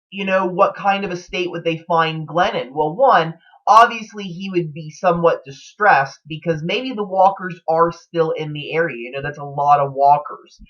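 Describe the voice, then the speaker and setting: Dull-sounding man thinking aloud, halting delivery. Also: on the high side, fairly bright tone. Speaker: TV series fan commentator. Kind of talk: casual unscripted theory commentary.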